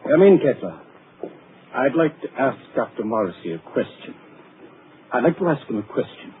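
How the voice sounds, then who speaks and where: An actor playing a tired, patient asylum doctor who speaks calmly and soothingly in a 1940s radio drama. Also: male voice, medium pitch, moderate pace, natural voice. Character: weary middle-aged male asylum doctor, patient and firm. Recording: muffled lo-fi 1940s radio broadcast with hiss.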